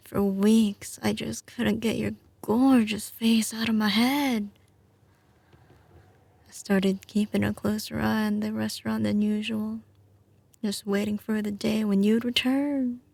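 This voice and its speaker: Actress as tough, doting mob-boss girlfriend for ASMR roleplay: Southern drawl, unhurried, creaky, teasing. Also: medium pitch, darkish tone, dry recording. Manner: slow, drawling, teasing endearments. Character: doting, tough mafia heiress girlfriend, young woman. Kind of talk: ASMR roleplay, intimate scripted monologue to the listener.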